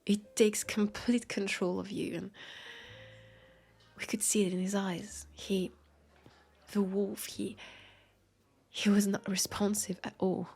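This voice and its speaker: Actress for an immortal female vampire cop: French accent, guarded and world-weary, gravelly hushed voice, unhurried confessional audio roleplay. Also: medium pitch, little pitch movement, heavily acted. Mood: grieving, pained. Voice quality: creaky, breathy. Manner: halting, hesitant, confiding, slipping into whispers. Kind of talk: intimate roleplay audio drama monologue.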